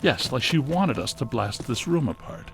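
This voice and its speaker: A man playing an unflappable, brainy ghost-hunting scientist in a video game, speaking in a precise, technical, deadpan way. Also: medium pitch, normal speed, creaky, background noise. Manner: dry, precise, technical delivery.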